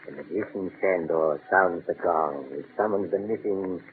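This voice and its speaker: Man voicing a creepy old doc telling a spooky tale, super deep voice, tinny old radio. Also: moderate pace, lightly acted. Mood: sinister. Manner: sinister, theatrical. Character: creepy old male storyteller doctor with a skull. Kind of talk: 1930s radio horror host narration. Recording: lo-fi old radio broadcast, faint hiss.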